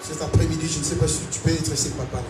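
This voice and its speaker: A man from Africa preaching a sermon to a congregation, animated and calling out to them. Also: fairly high pitch, very fast. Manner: animated preaching with call-and-response. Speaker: pastor. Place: large church hall. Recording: echoey hall, distant mic, noisy.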